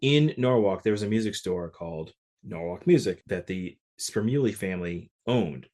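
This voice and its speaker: A fairly low-pitched man from Connecticut, speaking as a podcast guest. He talks loosely and conversationally, sprinkling his stories with casual fillers. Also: normal speed. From Connecticut, USA. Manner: relaxed, conversational, many "like" fillers. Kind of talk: casual interview storytelling.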